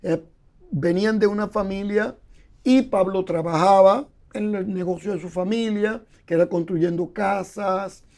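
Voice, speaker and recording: An older man talks in a high, gravelly voice, with the rasp of fry in his tone. He explains at length, like a teacher in conversation, and piles up examples to make his points. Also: a little slow, a little mellow. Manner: explanatory, lecturing with examples and repetitions. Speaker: guest, Bible scholar.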